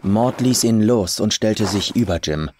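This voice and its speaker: A man's voice: lowish voice, a little slow, a little mellow, slight background noise. A man reading a scripted horror story as a dramatic audiobook narrator. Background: faint atmospheric music.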